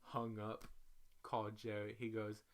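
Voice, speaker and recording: A man reading a social media story aloud in an animated, slangy, profane way, as a dramatic storyteller. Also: lowish voice, slow pace. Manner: animated, profane, slangy, acts out each quote. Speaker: reader, storyteller.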